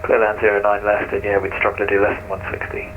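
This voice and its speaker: A man speaking as a pilot, making brisk readbacks in radio phraseology over an aviation radio. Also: on the low side, monotone. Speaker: pilot on a flight simulator radio network. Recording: tinny narrowband radio, faint noise.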